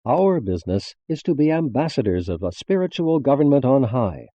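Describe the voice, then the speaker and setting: A man reading a religious text as a formal scripted narration, measured and carefully enunciated. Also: medium pitch, sing-song, mellow, no echo, highs cut off.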